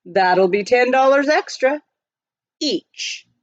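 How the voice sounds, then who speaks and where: Woman as amused hotel clerk, lively, high. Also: slow, dry recording, dull audio. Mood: amused, matter-of-fact.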